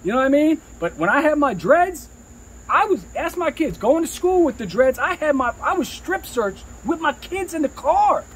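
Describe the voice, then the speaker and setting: A man's voice: extremely high pitch, big pitch swings, normal speed, noisy background. A middle-aged man, a rapper telling career stories in a casual, slangy, profane way, repeating phrases for emphasis. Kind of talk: casual storytelling chat.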